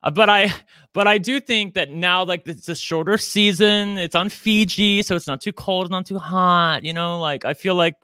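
A man's voice: high-pitched, normal speed, sharp tone, smooth, no room sound. A man in his forties co-hosting a podcast, talking in a chatty, campy, self-deprecating way. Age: 40s. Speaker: podcast co-host. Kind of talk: casual chat.

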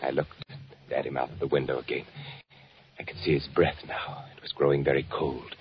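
An actor playing a hunted, fearful spy in a 1940s radio thriller, narrating in a brooding, deliberate way. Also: male voice, very deep voice, level tone. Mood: brooding, watchful. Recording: muffled 1940s radio recording with music.

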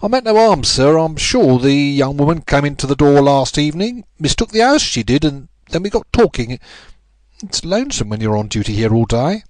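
A male narrator plays a big police constable caught out, nervous and sorry for himself. He rambles in a raised, lively pitch, deferential and apologetic, in a dry storybook recording. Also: moderate pace, dry recording. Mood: penitent, pleading. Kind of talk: audiobook character voice.

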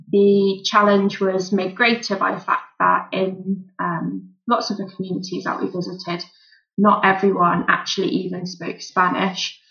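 A woman doctor in a relaxed interview, answering thoughtfully with frequent "um" fillers. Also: mid-range voice, muffled recording. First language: English. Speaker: volunteer doctor, guest.

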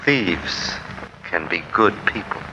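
A man's voice: low-pitched, lively intonation, slow. An actor playing a mild, trusting little man, earnest and proper in his speech, in an old radio drama. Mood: earnest, gentle. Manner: soft-hearted, earnest, proper diction. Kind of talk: 1940s radio drama acting. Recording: dull, faintly noisy 1940s radio recording.

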